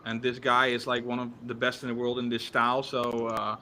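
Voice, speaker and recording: A man's voice: medium pitch, completely flat delivery, pushed and bright, a little hiss or hum. Hesitant man on a video call. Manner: self-critical, talks in hesitant halting bursts. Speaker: community member on a call. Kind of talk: casual video call chat.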